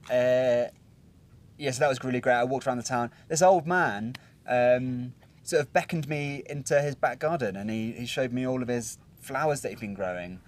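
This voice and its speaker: A man's voice: mid-range voice, moderate pace. A young British man recapping a trip in casual chat, rambling with plenty of ums and restarts, sitting outdoors at a hilltop viewpoint. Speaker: travel vlogger.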